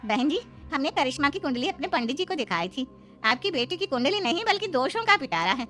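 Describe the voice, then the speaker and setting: Woman playing a judgmental, high-pitched matron. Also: big pitch swings, brisk, bright, forceful tone, faint music behind, exaggerated voice. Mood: disapproving. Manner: scolding, accusing. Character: stern, judgmental middle-aged mother or matchmaking matron. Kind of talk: animated moral-story cartoon voicing.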